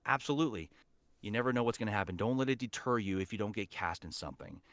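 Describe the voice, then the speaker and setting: A man's voice: lowish voice, fast, fairly bright tone, no echo. A man speaking as an interview guest and voice acting teacher, giving encouraging, emphatic advice in a conversational way. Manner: encouraging, emphatic with repeated key phrases. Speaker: voice actor and teacher, podcast guest.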